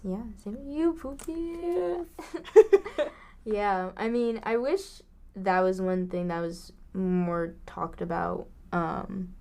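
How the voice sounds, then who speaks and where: A woman in her twenties co-hosting a podcast in casual chat, relaxed, laughing along and adding quick asides. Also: mid-range voice, vocal fry. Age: 20s. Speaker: podcast co-host.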